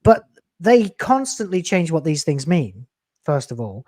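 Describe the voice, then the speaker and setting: A man's voice: a little high, big pitch swings, unhurried, darkish tone, no room sound, clean studio sound. A man in his late thirties, a YouTuber talking in a casual podcast chat, blunt and profane, with emphatic swings in delivery. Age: late 30s. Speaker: YouTuber guest.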